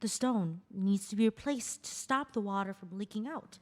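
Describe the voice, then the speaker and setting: A woman's voice: medium pitch, normal speed, a little mellow, lightly acted. Woman reading as a proud royal dancer, echoing hall. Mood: earnest. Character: young woman Apsara, powerful devoted royal dancer. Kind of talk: play table read with lyrical monologues. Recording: long reverberant hall echo.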